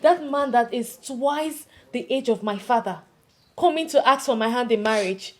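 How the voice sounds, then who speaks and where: A woman's voice: a little high, animated, normal speed, a little mellow, lightly acted. A woman playing a defiant, plain-spoken young village woman with a Nigerian accent in a film drama, speaking emphatically and indignantly. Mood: exasperated. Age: young adult. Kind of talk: naturalistic film drama dialogue.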